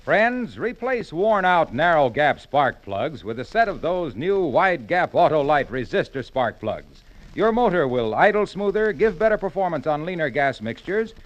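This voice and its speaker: A man announcing an emphatic commercial on a 1940s radio show. His voice sits fairly high and swings with lively energy. The recording sounds dull and lo-fi. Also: normal speed, faint music behind. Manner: hearty, emphatic sales pitch.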